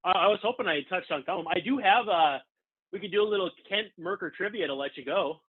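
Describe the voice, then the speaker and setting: Over a thin, phone-like remote connection, an American man hosts a casual sports interview. His voice is slightly high for a man and has a husky grain. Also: fast talker. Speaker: podcast host. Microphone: remote call.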